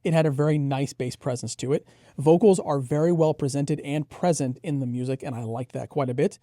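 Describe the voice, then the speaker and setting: A man's voice: fairly high pitch, fairly even intonation, fairly quick, dark tone, dry recording, clean studio sound. A man co-hosting a product review, explaining and giving his impressions in a conversational way. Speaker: headphone show co-host reviewer.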